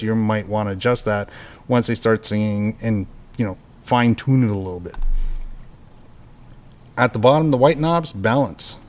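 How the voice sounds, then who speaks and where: Relaxed man walking through a gear demo. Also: mid-range voice. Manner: relaxed, explanatory, talks through each step while adjusting knobs. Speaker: product tutorial host. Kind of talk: casual hands-on equipment demo.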